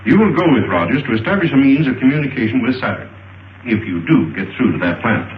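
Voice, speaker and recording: A stern, careful marshal , acted by a man in a vintage film serial. He speaks with formal authority at a middle pitch. The recording is muffled and roomy, with faint noise. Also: very animated, quick pace, natural voice. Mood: stern, reluctant. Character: stern, cautious male military marshal. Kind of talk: 1930s film serial acting.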